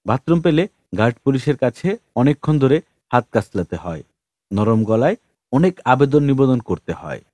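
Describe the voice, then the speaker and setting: Male storyteller, animated pitch, mellow tone, dry close sound, dramatic narration. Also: dry recording. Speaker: audiobook narrator.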